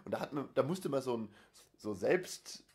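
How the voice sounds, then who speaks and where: A man's voice: mid-range voice, lively intonation, moderate pace, a little mellow. Man chatting with dry jokes and fillers. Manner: rambling, dry jokes, many fillers and restarts. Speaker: podcast co-host. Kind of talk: casual chat.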